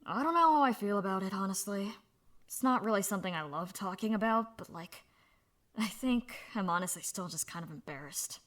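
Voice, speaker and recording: A woman voicing a young woman speaking softly and haltingly about her past, in a fan audio drama. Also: medium pitch, expressive, natural voice. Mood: somber. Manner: soft, halting, confessional. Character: young woman, subdued, candid.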